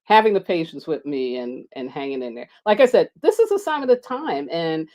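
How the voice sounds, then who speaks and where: A low-pitched woman talks loosely and warmly to her live-stream viewers about astrology. She speaks loudly, often laughs, and jumps high when she gets excited, with her microphone resting on a table. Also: brisk. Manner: loud voice, mic set down on the table. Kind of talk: casual live-stream talk.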